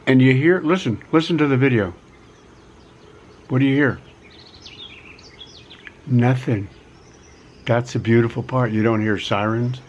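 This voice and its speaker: A middle-aged man giving a casual vlog pitch from a quiet rural home, talking in a folksy way, repeating himself and stressing key words. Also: highs cut off, a little hiss or hum. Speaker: vlogger offering relocation advice.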